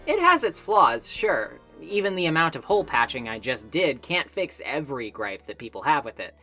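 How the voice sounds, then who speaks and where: A woman's voice: sits low, very animated, moderate pace, bright, forceful tone. A woman narrating a scripted video essay in an emphatic, conversational way, as its presenter. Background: music.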